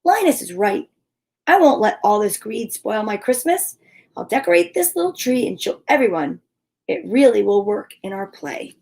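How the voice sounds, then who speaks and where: Woman doing a gloomy little cartoon boy from a storybook, high with a gravelly edge. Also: very animated, normal speed, a little mellow. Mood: determined. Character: glum young boy, easily discouraged.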